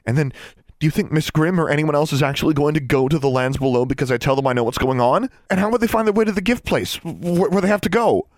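A man's voice: fairly high pitch, lively intonation, quick pace, no room sound, clean studio sound, pitched above the performer's own voice, close to an everyday voice. A man voicing a determined, urgent young girl heroine in an audiobook, earnest and insistent. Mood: urgent, agitated. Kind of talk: audiobook character voice.

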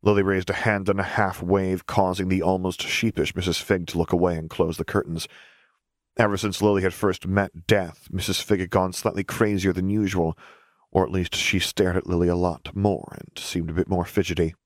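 Very low-voiced male storyteller. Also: flat delivery, normal speed, no echo, clean studio sound. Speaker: audiobook narrator. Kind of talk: scripted story narration.

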